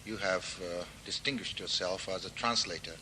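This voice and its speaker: Host guy, lowish bright voice, polite and halting, lots of uhs. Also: a little hiss or hum, poor audio. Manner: polite, halting, many fillers. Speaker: talk show host. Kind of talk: interviewing a guest.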